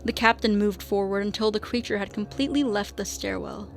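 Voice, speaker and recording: A woman's voice: mid-range voice, steady tone, fairly quick, gravelly, slight background noise. A woman reading scripted narration as the narrator of a radio drama.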